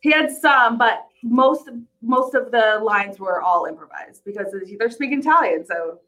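A woman with a fairly high voice co-hosts a casual podcast about television. She tells her stories in an animated way, with a giggly streak. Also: moderate pace, rough recording. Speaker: podcast co-host. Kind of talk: casual chat recapping a TV show.

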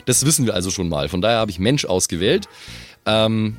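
A man's voice: on the low side, lively intonation, brisk, faint music behind. A man speaking casually as a podcast co-host, explaining things in an informal chat. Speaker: podcast co-host, tabletop role-player.

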